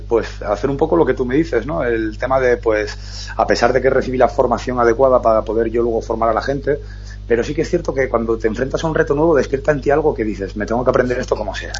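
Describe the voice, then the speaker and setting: A man hosting a radio talk show, explaining at length in an earnest, fast way. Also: mid-range voice, a little mellow, slightly rough, dull audio. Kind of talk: casual radio chat.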